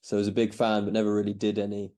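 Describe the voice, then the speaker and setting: A man's voice: lowish voice, monotone, very fast, dark tone. A man in his thirties who grew up in Belgium, answering interview questions in a casual, hesitant way with many restarts. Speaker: podcast guest.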